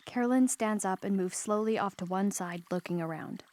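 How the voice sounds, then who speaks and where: A woman's voice: medium pitch, normal speed, a little mellow. A woman reading stage directions as scripted narration.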